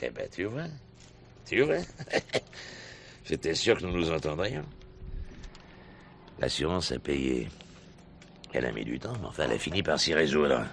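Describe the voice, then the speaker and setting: An actor playing a cool, sardonic schemer in a 1970s French radio crime play, smooth, mockingly familiar and menacing. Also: male voice, very deep voice, expressive, unhurried, pauses often, a little hiss or hum, full character voice. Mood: smug. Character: cool, sardonic male schemer proposing a shady deal.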